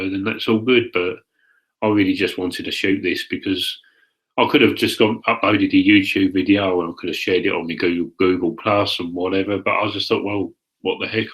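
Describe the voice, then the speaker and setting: A man's voice: deep voice, fairly bright tone, poor audio. A young man with a stuffed-up, congested voice, casually chatting to viewers in a live hangout, rambling with fillers and restarts. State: congested, stuffed-up nose and throat. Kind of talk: casual solo chat to viewers.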